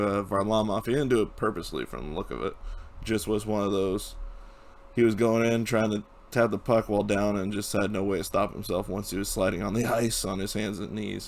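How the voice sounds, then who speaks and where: A young man who hosts a hockey channel, casually recapping playoff games and reading out stats, his voice hoarse from a cold. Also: fairly low pitch, darkish tone. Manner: casual, reads stats, chuckles at times.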